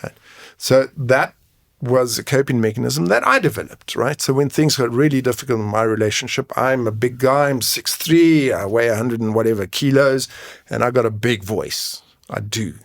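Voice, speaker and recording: A man's voice: mid-range voice, normal speed, vocal fry, dry recording. A man explaining things frankly and conversationally as an interview guest, often checking his points with a tag question. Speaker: guest, men's mental health coach and advocate.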